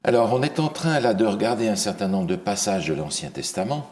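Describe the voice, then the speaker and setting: Man, very deep voice, lively pitch, Bible teacher, questioning, hesitant fillers. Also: fairly quick. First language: not English. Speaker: preacher teaching a Bible study.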